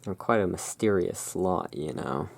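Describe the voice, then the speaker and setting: An ASMR host in a gothic shopkeeper roleplay, musing in an unhurried, gently whimsical way while commenting on cards. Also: deep voice, vocal fry. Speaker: host playing a gothic curiosity shop owner.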